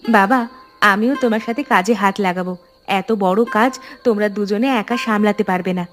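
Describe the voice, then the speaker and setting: Cartoon student daughter, female actor: mid-pitched, earnest, practical, caring, music under the voice. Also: brisk, lightly acted. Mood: helpful, determined. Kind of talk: animated story dialogue. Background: background music.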